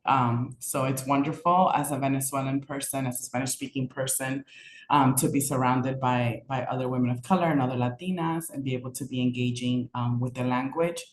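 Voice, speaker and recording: A woman's voice: very deep voice, steady tone, brisk. Woman on a roomy video call. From New York. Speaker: poet and panelist. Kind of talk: artist panel introduction. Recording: roomy call audio with faint noise.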